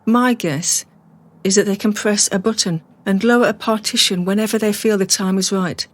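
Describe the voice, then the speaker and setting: Actress as level-headed female captive in radio drama: dry wit, measured reasoning, mid-pitched, close dry sound. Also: steady tone, no echo, lightly acted. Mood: grave, analytical. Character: calm, analytical, wry woman held captive. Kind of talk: naturalistic radio drama acting.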